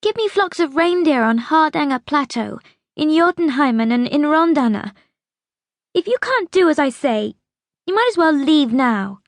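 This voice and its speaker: Woman performing a bitter young girl, high voice, insistent, accusing, audiobook character, dry close sound. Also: animated, a little slow, no room sound. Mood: angry, insistent. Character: bitter, demanding young girl pleading with her great-grandmother.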